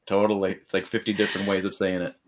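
A man from northern Mexico, appearing as a guest in a casual podcast conversation. His voice sits fairly low, and he talks loosely with many filler words and easy chuckles. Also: quick pace. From Rosarito, northern Mexico. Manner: relaxed, lots of fillers, chuckles. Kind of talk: casual chat.

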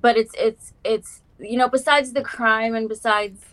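A woman's voice: a little high, steady tone, unhurried, a bit bright, a little hiss or hum. A young woman from South Africa, now, chatting as a guest on a casual podcast and using lots of "like" and "um". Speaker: guest artist. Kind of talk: casual interview chat.

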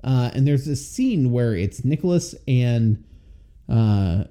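Podcast co-host, male, very dark mellow tone, chatty recapper who acts out quotes. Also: mid-range voice, lively intonation, slow. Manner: chatty, quotes scenes in playful voices. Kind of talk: casual movie recap chat.

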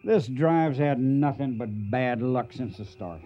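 An actor playing a gruff, hot-tempered trail boss in a radio western, with a folksy American Western drawl and an emphatic, commanding way of talking. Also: male voice, medium pitch, unhurried, dull audio, soft background music, lightly acted. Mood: grumbling. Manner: folksy, emphatic, barking orders.

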